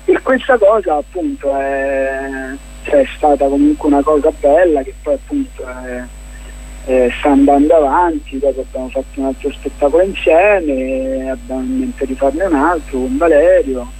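A man with a husky voice, speaking as a guest in a casual radio interview, explaining his projects in a hesitant, rambling way. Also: mid-range voice, normal speed, a little mellow, poor audio. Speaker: playwright and documentary filmmaker, interview guest.

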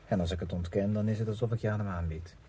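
Radio play role: man acting a proud, scornful novelist, mellow and low, faintly noisy recording. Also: fairly even intonation, rapid-fire, close to an everyday voice. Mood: resigned, frank. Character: proud, irritable male writer, bitterly frank with his woman friend.

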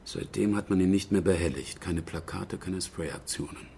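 An actor playing a dry, persistent police detective in a German radio crime drama, questioning in a wry, probing way. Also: male voice, low voice, moderate pace, creaky, mellow, slight background noise. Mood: matter-of-fact.

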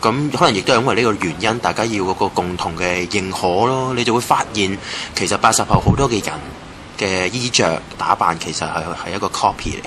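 Low-voiced Hong Kong man, noisy surroundings. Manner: halting, thoughtful, some English words mixed in. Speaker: interviewee. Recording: clearly noisy ambient background. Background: ambient noise.

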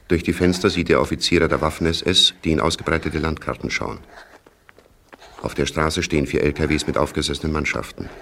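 An actor as a sober storyteller, reading scripted present-tense radio play narration in a measured, literary, matter-of-fact way. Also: male voice, extremely low pitch, fairly bright tone, slight background noise. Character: sober male storyteller narrating a wartime tale.

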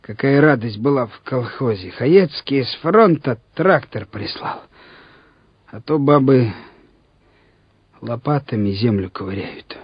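A simple, kind-hearted peasant soldier in an old radio play. His voice is very breathy and rough, and he takes his time, musing in homely words. The recording is dull and dated. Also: medium pitch, lively intonation, unhurried, no room sound. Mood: wistful musing.

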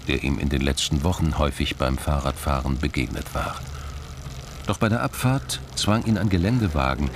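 A middle-aged man with a gravelly voice reading scripted literary crime narration. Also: low-pitched, background noise.

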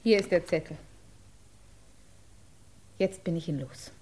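An actress playing an anguished woman in a 1950s radio drama, speaking in emotive, deliberate phrases. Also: lowish voice, warm tone, slightly rough. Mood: subdued, remorseful. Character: anguished woman confessing lost love, turning desperate.

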